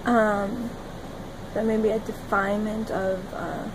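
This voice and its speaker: A young woman talking to her phone camera in a small room, rambling dreamily and giggling, jumping between loud bursts and soft murmurs. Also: mid-range voice, steady tone, measured pace, noisy background. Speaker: vlogger. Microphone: phone camera at arm's length.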